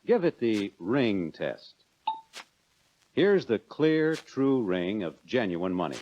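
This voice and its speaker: An American man narrating a 1940s instructional film in a measured, instructive manner, addressing the viewer directly. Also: on the low side, very animated, darkish tone, slight background noise. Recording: dull lo-fi 1940s film soundtrack.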